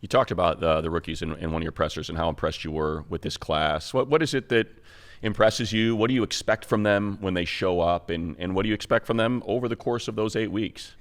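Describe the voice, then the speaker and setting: A man's voice: low-pitched, steady tone, brisk. An American man co-hosting a sports show, asking questions in a casual, conversational interview style.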